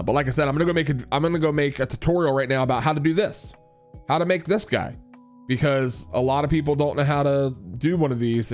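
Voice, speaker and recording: A man's voice: mid-range voice, darkish tone. A man hosting a livestream, chatting casually and rambling with many restarts as he answers viewers. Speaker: livestream host and worship leader.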